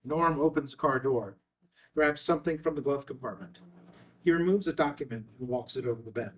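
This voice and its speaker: A man with a medium-pitched voice reads out stage directions as a narrator. It is scripted screenplay narration, picked up from some way off the microphone. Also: a little fast. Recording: distant microphone.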